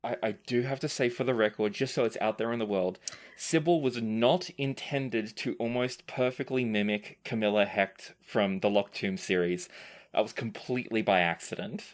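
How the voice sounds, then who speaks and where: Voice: mid-range voice, normal speed. A podcast host and game master reading listener questions aloud and chatting casually, in a conversational, playfully emphatic way.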